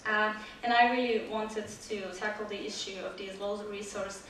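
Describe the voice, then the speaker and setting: A woman from Croatia, a native Croatian speaker, presents her research at a conference, pausing on "uh" between phrases. She is picked up from far off in a large hall full of echo, with faint room noise underneath. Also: medium pitch, steady tone, a bit bright. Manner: measured, with frequent "uh" fillers. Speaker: conference speaker, PhD student. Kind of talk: academic conference talk. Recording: echoey hall audio from far off.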